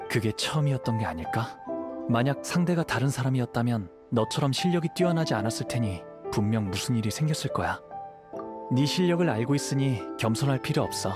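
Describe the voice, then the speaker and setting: An actor playing a polite, modest young wandering swordsman in an anime game, speaking courteously and in measured phrases over music. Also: mid-range voice, lightly acted. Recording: music behind the voice.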